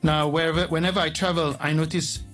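A man with a Malaysian accent, a guest in an interview, explaining at length with frequent "uh" pauses. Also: on the high side, flat delivery, fairly bright tone, faint music behind. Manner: explanatory, lists names with many pauses. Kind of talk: conversational interview.

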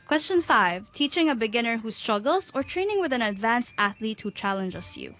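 A woman's voice: a little high, big pitch swings, normal speed, fairly bright tone, faint music behind. Woman hosting a casual podcast. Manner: friendly questioning, many backchannel sounds. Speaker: podcast co-host.

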